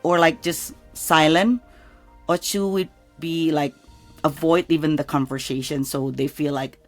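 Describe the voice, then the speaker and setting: A woman's voice: sits low, steady tone, a little slow, faint music behind. A middle-aged woman from Indonesia with an Indonesian accent, hosting a casual interview chat and searching for words between fillers. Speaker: podcast host.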